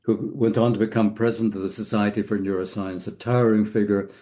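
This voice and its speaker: An older man from the west coast of Scotland with a Scottish accent, telling his story as a podcast guest in an unhurried, reflective way. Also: fairly low pitch, flat delivery, dark tone. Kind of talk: casual interview talk.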